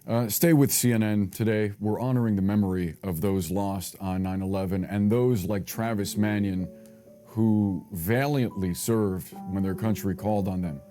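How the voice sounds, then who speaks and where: Male US news anchor, lowish and very warm tone, scripted delivery, faint music bed. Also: fairly even intonation, normal speed. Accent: American. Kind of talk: scripted broadcast news presenting and interviewing.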